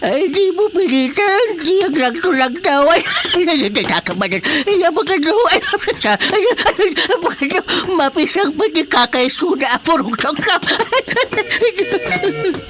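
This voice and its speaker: A woman's voice: extremely high pitch, very animated, unhurried, few pauses, full character voice. An actress with an Ilocano accent playing a giggly, scolding grandmother creature in a radio drama comedy, full of exclamations. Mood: flustered giggling. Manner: giggly, exclamatory, repeats interjections.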